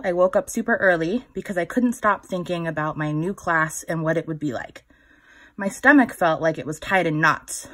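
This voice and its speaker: A woman reading a picture book aloud to young children in a gentle, instructive way, with a slightly husky voice. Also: on the low side. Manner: gentle, instructive, pausing to put questions to the child listener. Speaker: children's story reader.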